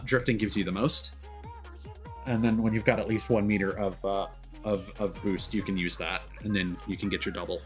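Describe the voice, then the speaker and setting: A man giving casual, halting gameplay commentary as a live streamer, explaining as he plays. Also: lowish voice, a bit bright. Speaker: live streamer playing a racing game. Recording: game music behind the voice.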